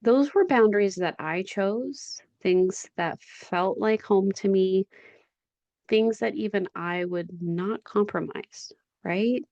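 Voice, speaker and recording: A woman's voice: medium pitch, slow pace, darkish tone, muffled recording. Reflective woman in her forties hosting a podcast. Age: 40s. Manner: candid, reflective, conversational. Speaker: podcast host. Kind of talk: solo podcast monologue. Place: at home.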